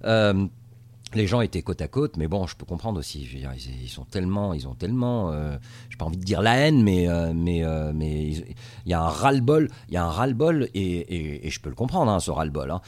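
A man's voice: deep voice, big pitch swings, brisk, a little mellow. A middle-aged man from Tunisia, a TV journalist, answering interview questions in a casual, hesitant way full of fillers and restarts. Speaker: TV journalist based in the US.

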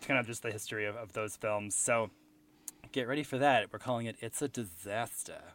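A man's voice: fairly low pitch, fairly quick, fairly bright tone, no room sound. A man co-hosting a podcast in casual chat, chatty with frequent restarts. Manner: chatty, laughing, trailing restarts. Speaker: podcast co-host.

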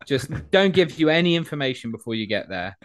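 A man co-hosting a comedy podcast, teasing his friend with playful banter and quick comic riffs. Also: medium pitch, sing-song. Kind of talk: casual chat.

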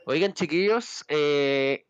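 A man's voice: fairly high pitch, slow pace, clean tone, no room sound. A man with a Chilean accent hosting a casual podcast, prodding the others with questions. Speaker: podcast host. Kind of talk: casual chat. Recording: dry dull lo-fi audio.

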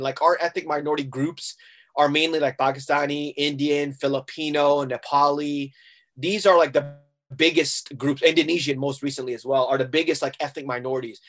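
A man's voice: fairly high pitch, fairly bright tone. A middle-aged man from Hong Kong, a guest musician talking casually and acting out quoted exchanges as he tells stories. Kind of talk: casual interview talk.

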